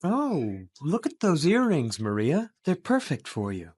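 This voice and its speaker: A man's voice: fairly high pitch, huge pitch swings, measured pace, darkish tone, breathy edge, heavily acted. A man voicing an encouraging shopper in a scripted English lesson dialogue. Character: generous shopper encouraging a friend.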